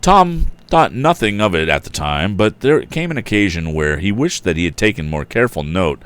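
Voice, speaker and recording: A man hosting a casual story read-aloud, informal and a bit halting, slipping between narration and chatty asides. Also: fairly low pitch, very animated, fairly bright tone, smooth. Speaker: storybook reader and host.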